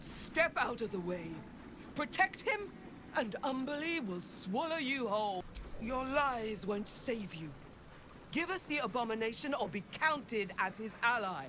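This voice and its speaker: An actress playing a stern, zealous sea priestess in a video game, accusing and threatening. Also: female voice, on the high side, expressive, unhurried, noisy background. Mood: stern threat. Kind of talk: dramatic video game acting.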